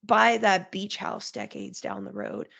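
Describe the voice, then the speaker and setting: Woman, market strategist guest, nasal from a cold, heavy vocal fry, clear and conversational. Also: mid-range voice, level tone, measured pace, fairly bright tone, muffled recording. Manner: explains in clear plain terms, lists points. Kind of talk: conversational podcast interview.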